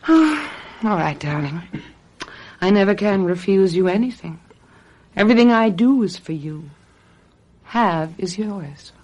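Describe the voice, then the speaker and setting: A woman's voice: mid-range voice, animated, slow, long pauses, darkish tone. A woman playing a trusting, easily swayed wife in a radio mystery drama, yielding and languid in manner. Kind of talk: radio mystery drama acting with confiding narration.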